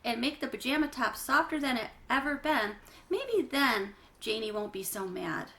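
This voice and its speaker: A woman's voice: a little high, lively intonation, moderate pace, bright, forceful tone. A woman voicing an earnest, literal-minded young boy in a children's storybook, speaking in a matter-of-fact, explaining way. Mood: earnest, hopeful.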